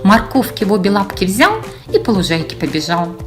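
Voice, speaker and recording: A woman reading her own children's fairy tale in verse with an expressive, sing-song delivery. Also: medium pitch, fairly quick, music in the background. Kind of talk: scripted children's storytelling in verse.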